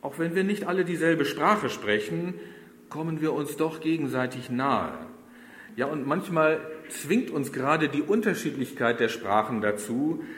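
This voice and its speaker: Middle-aged German pastor, chatty sermon delivery that speaks straight to the pews, recorded in a roomy church. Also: male voice, on the high side, animated, unhurried, fairly bright tone, slight background noise. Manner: conversational, addresses the congregation directly. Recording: roomy church with long reverb.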